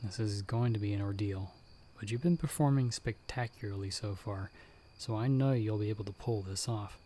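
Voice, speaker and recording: A man playing a calm, protective monster hunter in an ASMR audio roleplay, giving hushed, measured, reassuring instructions. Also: lowish voice, slight background noise, close to an everyday voice. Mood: steady, guiding. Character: calm, protective male monster hunter guiding someone by telepathy.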